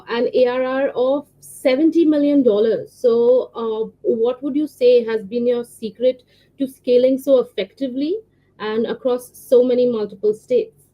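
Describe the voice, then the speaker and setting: A woman's voice: fairly high pitch, steady tone, measured pace, highs cut off. A woman hosting a podcast, reading a guest introduction and then asking questions with frequent fillers. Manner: reads introduction smoothly, asks questions with many fillers. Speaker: podcast host.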